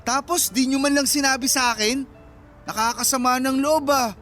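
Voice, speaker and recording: An actor playing a dramatic, easily offended young best friend in a radio romance, questioning with exaggerated indignation. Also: male voice, very high voice, steady tone, normal speed, fairly bright tone, soft background music. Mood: shocked, sulky.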